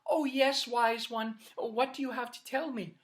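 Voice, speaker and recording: A woman voicing a kind, polite young woman in a folktale, speaking softly and courteously. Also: steady tone, unhurried, lightly acted. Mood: gentle. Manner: soft, courteous and raised in pitch.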